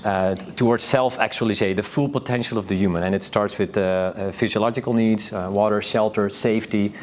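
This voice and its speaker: A man's voice: on the low side, steady tone, brisk, slight background noise. Enthusiastic, rambling man from Northern Europe. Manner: rambling, enthusiastic, frequently restarting sentences. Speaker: panelist. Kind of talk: informal conference talk.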